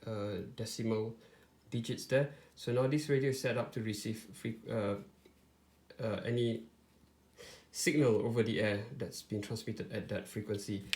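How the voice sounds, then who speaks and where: A man presents a hands-on tutorial in an informal style. His voice sits at an average pitch. He explains step by step, somewhat haltingly, with plenty of pauses. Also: a little mellow, slight background noise. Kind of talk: informal step-by-step product demonstration.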